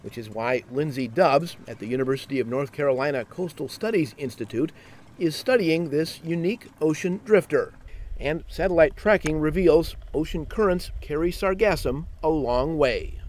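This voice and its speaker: A man giving a scripted, measured narration for a science news segment. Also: a little high, lively intonation, a little mellow, slight background noise.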